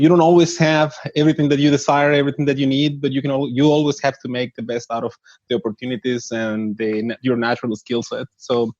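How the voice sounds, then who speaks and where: A man's voice: mid-range voice, a little mellow, no room sound. A man in his thirties from northern Mexico, a startup CEO answering interview questions earnestly, with frequent restarts. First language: Spanish. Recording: dull dry lo-fi audio.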